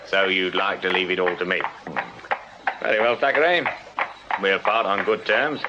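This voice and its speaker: An English actor playing a dry, dogged Victorian detective sergeant in a radio drama, sardonic and authoritative. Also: male voice, deep voice, steady tone, normal speed, close to an everyday voice. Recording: muffled radio drama, noisy crowd behind.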